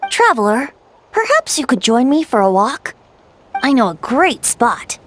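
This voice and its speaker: A playful, whimsical bard in an anime game. The high voice lilts theatrically at a leisurely pace, like a storyteller savouring each phrase. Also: female voice, very animated, unhurried, slight background noise. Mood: wistful, playful. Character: whimsical, playful bard, light-hearted, slyly modest storyteller. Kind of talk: scripted anime game voice acting.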